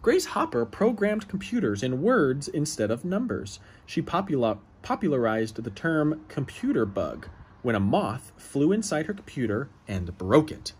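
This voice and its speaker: A man reads a children's picture book aloud in an expressive, encouraging way. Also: mid-range voice, unhurried, slightly rough. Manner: expressive, encouraging, exclaims and gasps between pages. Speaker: children's book reader, host. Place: outdoor porch of a house.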